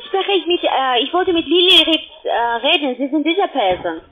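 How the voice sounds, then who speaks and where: Woman, high voice, telesales caller, halting non-native phrasing, tinny phone sound. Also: very animated. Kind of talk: scripted sales call. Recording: thin phone line.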